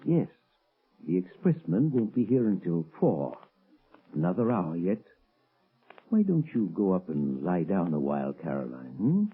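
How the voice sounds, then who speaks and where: Tinny vintage radio recording, scheming frightened man, very hoarse-edged voice, halting melodramatic delivery. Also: deep voice, very animated, full character voice. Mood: uneasy. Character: frightened, guilty scheming husband cornered by his past. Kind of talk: 1930s radio melodrama acting.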